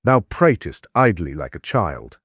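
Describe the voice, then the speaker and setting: Deep-voiced proud warrior king. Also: big pitch swings, unhurried, fairly bright tone, dry recording, studio quality, exaggerated voice. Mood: indignant, scolding. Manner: declamatory, archaic, stately diction. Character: proud, hospitable fair-haired warrior king, commanding and worldly. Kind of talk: dramatized epic audiobook acting.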